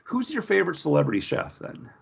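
A man's voice: sits high, big pitch swings, fast talker, vocal fry, breathy edge. An American man chatting casually as a podcast co-host. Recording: dull, lo-fi audio.

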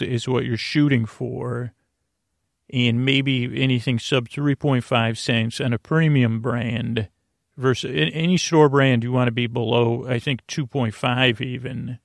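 A man hosting a sleep podcast with a rambling bedtime monologue, meandering and halting, repeating himself and trailing off. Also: mid-range voice, fairly even intonation, vocal fry, dry recording, clean studio sound.

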